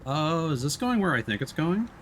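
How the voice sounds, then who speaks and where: A man's voice: fairly high pitch, very animated, moderate pace, a bit bright, slight background noise. A man doing casual live game commentary, thinking aloud and talking playfully to himself and the game. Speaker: streamer.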